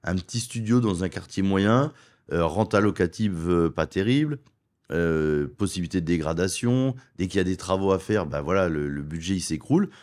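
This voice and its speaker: Persuasive low-voiced French man. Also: darkish tone. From France. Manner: persuasive, lists points in quick runs. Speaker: wealth advisor and property investment guest. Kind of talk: conversational interview. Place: studio.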